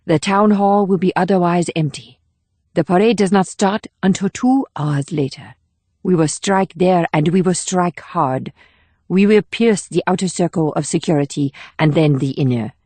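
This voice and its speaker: A woman playing a cool, controlled female assassin with a formal, measured delivery in an audiobook drama. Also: on the low side, dark tone, dry recording, lightly acted. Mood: assured, resolute. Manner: measured, formal, clipped short statements. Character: cool, controlled, steely female North Korean assassin leading a mission.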